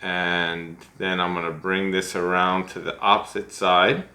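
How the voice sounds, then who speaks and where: Deep-voiced man demonstrating fly tying. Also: little pitch movement, unhurried, pushed and bright. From United States. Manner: chatty, self-deprecating, thinks aloud. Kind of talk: relaxed step-by-step tutorial. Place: small room at home, seated at a desk.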